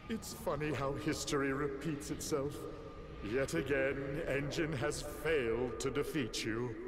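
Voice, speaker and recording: Man as slow, theatrical mad-scientist villain. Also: fairly even intonation, music in the background, natural voice. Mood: stern. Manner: theatrical, drawling, sarcastic. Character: neurotic, scheming middle-aged mad scientist villain, long-suffering. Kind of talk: video game cutscene acting. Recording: loud rumbling ambience behind.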